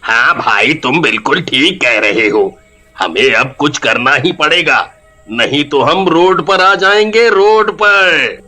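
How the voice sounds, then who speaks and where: Fretful village men, high, bright and slow-talking, voiced by a man. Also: soft background music, exaggerated voice. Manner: loud, complaining. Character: worried male villagers, loud and fretful.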